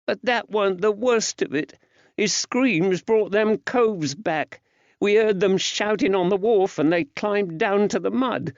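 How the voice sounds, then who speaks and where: A man's voice: sits high, measured pace, dry recording, highs cut off, pitched above the performer's own voice. A man voicing a frightened, grieving working-class boy who speaks plaintively in an old-fashioned rustic dialect. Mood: guilty, distressed.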